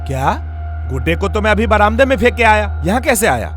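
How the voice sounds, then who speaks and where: A man's voice: high-pitched, big pitch swings, a little fast, fairly bright tone, faint music behind. A man voicing a grumpy cartoon father who scolds his son in short exclamations. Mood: irritated. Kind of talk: cartoon comedy voice acting.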